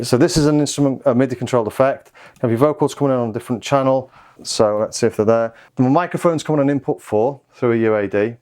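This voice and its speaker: A man's voice: mid-range voice, darkish tone. A man giving a casual hands-on music gear demo, thinking aloud in an offhand way. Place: home music studio.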